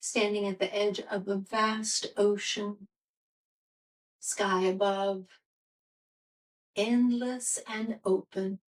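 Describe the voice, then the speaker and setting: A woman teaching restorative yoga, guiding a meditation in a soothing, gentle, unhurried manner. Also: mid-range voice, flat delivery, distant mic, poor audio.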